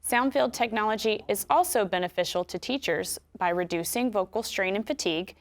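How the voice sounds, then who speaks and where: A woman with an American accent giving scripted instructions in a clear, measured way. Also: mid-range voice. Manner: clear, measured explanatory delivery. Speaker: instructional video narrator.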